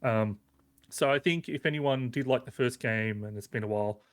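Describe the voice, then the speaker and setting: Guy on a games podcast, mid pitched voice, chatty review, kinda rambly but into it. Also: normal speed. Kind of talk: casual conversational game review.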